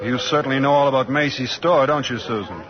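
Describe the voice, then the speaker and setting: A man playing an easygoing, apologetic gentleman in a 1940s radio drama, mild, polite and a little hesitant. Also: mid-range voice, quick pace, a crowd in the background, close to an everyday voice. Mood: friendly. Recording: muffled vintage 1940s radio broadcast.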